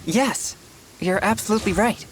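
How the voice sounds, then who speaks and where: A woman voicing a polite, reserved teenage boy exorcist in a video game, with a boyish voice and a serious, measured manner. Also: medium pitch, background noise, lightly acted. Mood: agreeable.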